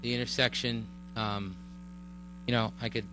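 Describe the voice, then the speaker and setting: A man speaking at a village board meeting, explaining a road project in a halting way with many fillers and restarts. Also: medium pitch, little pitch movement. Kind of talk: public meeting testimony. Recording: mains hum under the voice.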